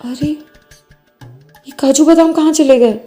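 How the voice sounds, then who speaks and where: A meek young daughter-in-law from a moral storybook , acted out by a woman. Her high voice is plaintive and deferential, with a mellow tone. Faint music plays behind her. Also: takes it slowly. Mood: alarmed, distressed.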